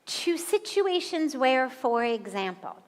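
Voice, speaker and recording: Woman in her fifties, American spiritual teacher, higher voice, unhurried teaching in a reverberant hall. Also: sing-song. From United States. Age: 50s. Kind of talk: satsang talk to an audience.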